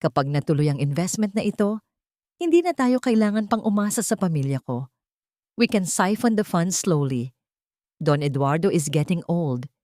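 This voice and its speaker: A woman's voice: fairly low pitch, very animated, moderate pace, mellow, no room sound, studio quality. A woman voicing a scheming, greedy businessman fiancé in an audiobook drama, talking in a conspiratorial way.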